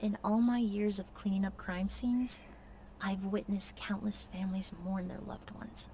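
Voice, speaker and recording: A woman voicing a composed, gentle and thoughtful mentor figure in a video game. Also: medium pitch, fairly even intonation, unhurried, slight background noise, natural voice. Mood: gentle, reflective.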